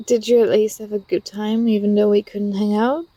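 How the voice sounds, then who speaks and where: A woman playing an affectionate, teasing young student talking to a close friend in a soft, intimate ASMR roleplay. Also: mid-range voice, flat delivery, moderate pace, dry recording, lightly acted. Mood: warm, apologetic. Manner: gentle, playful, coaxing.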